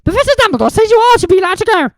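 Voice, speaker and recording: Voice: very high-pitched, big pitch swings, fairly bright tone, no room sound, studio quality, voice pushed up, full character voice. A reader voicing a stern, bustling older school nurse who speaks firmly and fussily. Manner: brisk, firm and fussy.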